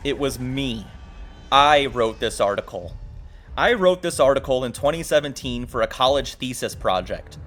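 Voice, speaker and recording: A man's voice: medium pitch, fairly bright tone, slight background noise. A man narrating a video essay as host in a wry, exclamatory, casual style with some swearing. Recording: music behind the voice. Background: background music.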